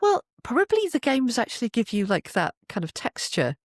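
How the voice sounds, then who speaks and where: Androgynous guest voice, medium pitch, animated anecdotal chat, close dry booth sound. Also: moderate pace, no echo. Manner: animated storytelling, acts out remembered remarks. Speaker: guest, voice actor and narrator. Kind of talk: casual anecdotal interview chat. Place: home recording booth.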